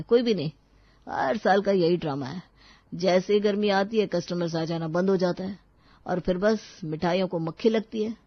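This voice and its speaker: A woman's voice: lowish voice, dry recording, muffled recording, close to an everyday voice. A woman voicing a resigned, earnest young husband in a dramatised story, speaking plainly and explaining.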